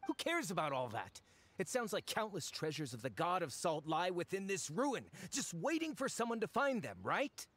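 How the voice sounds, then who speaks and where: Greedy impatient treasure hunter game guy, highish voice that swings all over, kinda sarcastic. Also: big pitch swings, moderate pace, fairly bright tone, slight background noise. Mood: annoyed. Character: brash greedy male treasure seeker, easily annoyed. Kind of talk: English video game voice acting.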